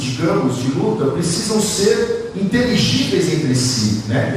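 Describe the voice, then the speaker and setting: A man's voice: fairly high pitch, darkish tone. A Brazilian man giving an academic lecture at a conference panel in a discursive manner, often adding short tag questions. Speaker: academic speaker. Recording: very echoey hall, distant mic, faint noise.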